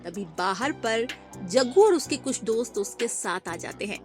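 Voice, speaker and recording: A woman narrating a children's cartoon story in a clear storytelling way. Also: a little high, lively intonation, unhurried, a little mellow. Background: background music.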